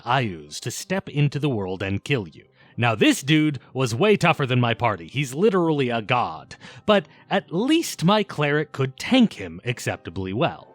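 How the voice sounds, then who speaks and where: A man narrating a scripted but conversational video essay in a wry, casual, emphatic way with some swearing. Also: medium pitch. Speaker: video essayist and game reviewer.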